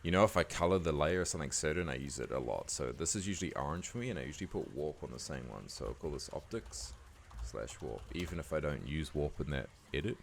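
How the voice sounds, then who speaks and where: Croaky, very deep-voiced laid-back man. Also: lively intonation, fast, hardly any pauses, a little hiss or hum. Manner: laid-back, many "like" and "um" fillers. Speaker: video editing tutor. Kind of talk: casual screen-recording tutorial voice-over.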